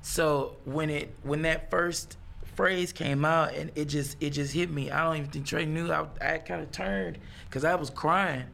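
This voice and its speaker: A man in his thirties, a songwriter talking as a guest in a casual interview. He speaks reflectively and haltingly, often restarting his sentences. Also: on the high side, steady tone, gravelly, fairly bright tone, slight background noise. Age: 30s.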